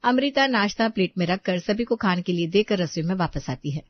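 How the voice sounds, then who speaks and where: A woman's voice: mid-range voice, expressive, a little fast, no room sound, highs cut off. Woman narrating a story, dry sound.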